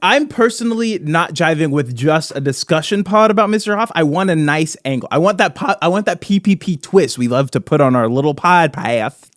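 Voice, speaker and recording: Man, podcast host, slightly high voice, very lively intonation, playful repetitive banter, close dry sound. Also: a little fast, few pauses, no room sound. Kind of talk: casual comedic podcast banter.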